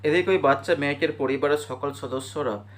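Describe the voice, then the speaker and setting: A man's voice: a little high, a little fast. A man narrating a scripted cartoon ghost story in a storyteller's style. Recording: clear background noise and ambience.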